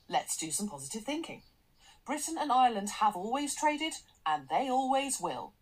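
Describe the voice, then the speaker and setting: An actress in a radio drama playing a brisk, commanding, articulate corporate boss, cool and self-assured. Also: female voice, medium pitch, husky, rough recording. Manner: assertive, articulate, polished.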